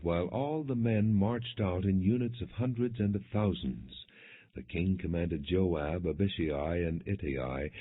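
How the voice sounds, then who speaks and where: A man reading scripture as an audiobook narrator, in measured, clearly enunciated storytelling without dramatization. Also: low-pitched. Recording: muffled, phone-like lo-fi audio.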